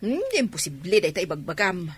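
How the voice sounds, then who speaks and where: A woman's voice: lowish voice, expressive, brisk, slightly rough, dry recording. A woman playing a sensible, scolding older village wife in a radio comedy drama. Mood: chiding, skeptical. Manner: matter-of-fact, chiding.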